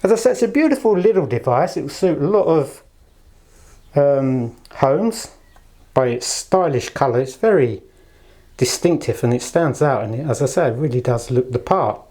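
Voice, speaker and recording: A man's voice: mid-range voice, expressive, normal speed, mellow. A man giving a casual product demonstration in a polite, chatty way, recorded on a handheld camera as he presents. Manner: polite, chatty, fond of understated superlatives. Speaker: product presenter for an audio shop. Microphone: handheld camera held in one hand.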